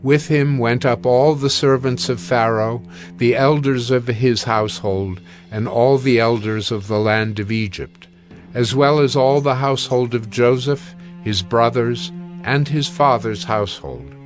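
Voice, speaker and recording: A man delivers solemn scripted Bible narration in a medium register. His reading is measured and formal. Gentle music runs quietly behind the voice. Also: mid-range voice.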